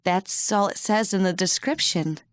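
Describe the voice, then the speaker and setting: A woman playing a curious, careful character in an audio drama, speaking deliberately. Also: medium pitch, smooth, dry recording, studio quality, close to an everyday voice.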